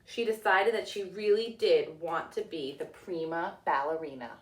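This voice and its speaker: A woman's voice: on the low side, normal speed, far from the mic. Woman reading a storybook in an echoey room. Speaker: teacher reading aloud. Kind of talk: children's storybook narration. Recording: echoey room, away from the mic.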